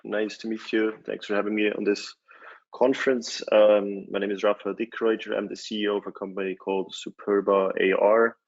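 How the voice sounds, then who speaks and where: A man from Switzerland in his forties giving a conference talk, relaxed, with many fillers and restarts. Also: lowish voice, moderate pace, muffled recording. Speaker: conference speaker, company CEO. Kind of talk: presentation talk.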